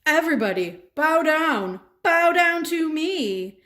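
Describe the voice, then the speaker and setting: Woman playing a pompous, high-voiced puppet villain. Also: expressive, slow pace, fairly bright tone, exaggerated voice. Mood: pompous, demanding. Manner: pompous, commanding. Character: boastful, vengeful male villain, a royal advisor. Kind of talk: children's puppet show storytelling.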